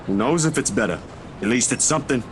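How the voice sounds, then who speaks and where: A man's voice: mid-range voice, lively intonation, fast, background noise, natural voice. A man voicing a loyal, stubborn, good-hearted young everyman in a video game drama, speaking in an earnest, slangy way. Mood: defiant, resolute.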